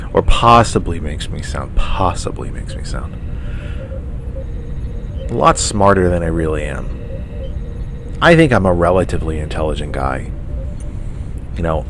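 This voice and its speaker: A man's voice: very deep voice, background noise. A middle-aged man hosting his own radio show, stuffed up with the sniffles, musing aloud and repeating himself with self-deprecating asides. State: stuffy nose. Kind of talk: casual spoken commentary.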